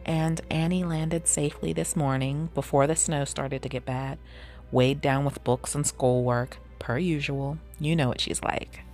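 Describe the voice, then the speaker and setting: A woman's voice: deep voice, steady tone, unhurried, darkish tone, soft background music. A woman voicing a middle-aged mother in an audiobook, chatty, blunt and insistent. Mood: chatty, fussing. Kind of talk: audiobook character voice.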